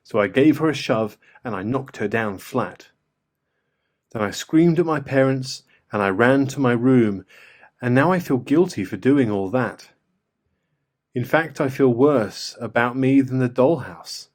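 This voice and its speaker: A man's voice: mid-range voice, expressive, a little slow. A man voicing an upset little girl in a storybook, telling it earnestly.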